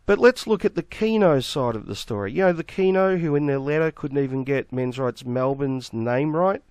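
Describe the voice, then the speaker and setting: Man, croaky flu voice, sarcastic, rebutting, dry sound. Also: medium pitch, expressive, moderate pace, a little mellow, dry recording. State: has the flu, croaky throat. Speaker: YouTube commentator. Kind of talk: argumentative response video.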